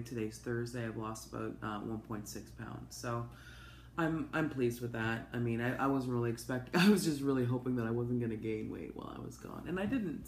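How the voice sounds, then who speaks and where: A woman, hoarse from a cold, giving a chatty, open personal update to camera with plenty of ums and asides. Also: rumbling low. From Canada. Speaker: vlogger. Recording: echoey room with faint noise.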